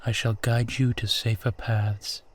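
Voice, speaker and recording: Man playing a courtly, unhurried ancient vampire gentleman. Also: mid-range voice, little pitch movement, no echo, natural voice. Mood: firm, reassuring. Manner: formal, old-fashioned, gently teasing, unhurried. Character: suave, courtly centuries-old male vampire who looks twenty-eight. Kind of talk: roleplay audio drama addressed to the listener.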